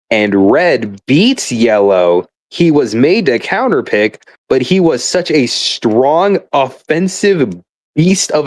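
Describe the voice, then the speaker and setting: A man with a medium-pitched voice rants casually like a fed-up gamer. He is emphatic and profane, often stammering and repeating words as he builds a point. The sound is dry, with very little room on it. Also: dry recording. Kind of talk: casual rant about a mobile video game.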